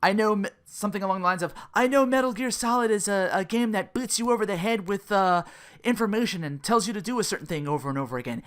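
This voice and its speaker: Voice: mid-range voice, fast. A podcast host rambling casually in a sardonic, profane manner, often acting out quotes as part of the commentary. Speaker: podcast host and content creator.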